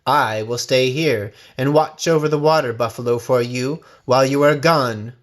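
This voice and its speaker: A man's voice: mid-range voice, normal speed, lightly acted. A man voicing a sly, hungry tiger in a storybook, with a polite, wheedling manner that hides his scheming. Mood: falsely sincere. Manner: courteous, wheedling. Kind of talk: storybook character voice.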